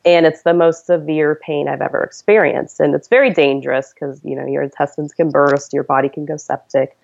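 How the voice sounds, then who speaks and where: A woman's voice: low voice, steady tone, brisk, creaky, a little mellow, lo-fi sound. A woman in her thirties, a podcast guest, telling her story in an earnest, chatty way. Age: 30s. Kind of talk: conversational storytelling.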